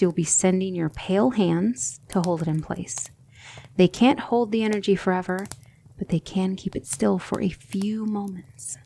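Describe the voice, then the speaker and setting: A woman playing a gentle, patient demon tutor in an ASMR roleplay, speaking in measured, formal instruction that often drops toward a whisper. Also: mid-range voice. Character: gentle, patient demon tutor guiding a magic student, female.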